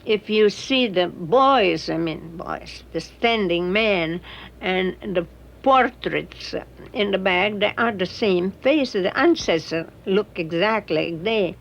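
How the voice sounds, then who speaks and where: A woman photojournalist reflecting in a documentary interview, with halting, non-native phrasing. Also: medium pitch, lively intonation, gravelly, rough recording.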